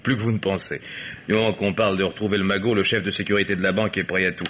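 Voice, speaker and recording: Low-voiced, cool detective. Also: male voice, little pitch movement, rapid-fire, a bit bright. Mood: brisk, assured. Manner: assured, wry, explanatory. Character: confident, sly male private detective, coolly ironic. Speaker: actor in a radio mystery. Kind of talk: French radio detective drama acting. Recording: noisy old radio drama recording.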